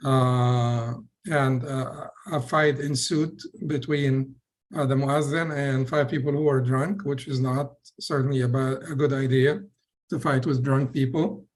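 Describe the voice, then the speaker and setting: Man, middle-aged, Egyptian accent, physician on a video call, hesitant, lots of fillers, mid pitch. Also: steady tone, unhurried. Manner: hesitant, many fillers and restarts. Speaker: physician guest speaker. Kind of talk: personal talk in an online session.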